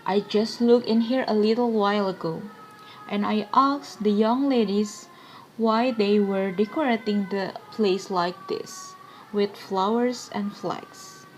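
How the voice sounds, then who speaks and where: A woman's voice: mid-range voice, takes it slowly, a little mellow, faint music behind, lightly acted, rough recording. A woman acting a shy, eager young man in a stage play, polite, deferential and hesitant. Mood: shy, apologetic.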